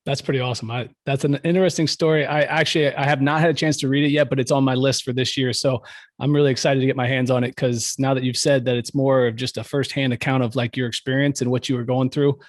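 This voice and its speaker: A man's voice: medium pitch, dry recording. Guy hosting a podcast, friendly, mid voice, super dry close mic. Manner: friendly, affirming, asks long lead-in questions. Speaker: podcast host. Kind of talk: casual interview.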